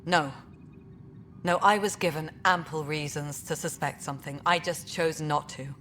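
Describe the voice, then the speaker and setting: An actress playing a weary, stern station director in a video game, firm and candid. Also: female voice, low-pitched, fairly even intonation, moderate pace, fairly bright tone, slight background noise. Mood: regretful, resolute.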